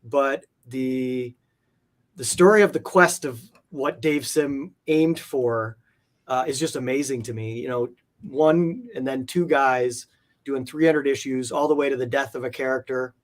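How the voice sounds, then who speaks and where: Male collector guest, mid-pitched, earnest and halting, casual conversational delivery that repeats itself for emphasis. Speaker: guest, comic art collector. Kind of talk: casual interview chat.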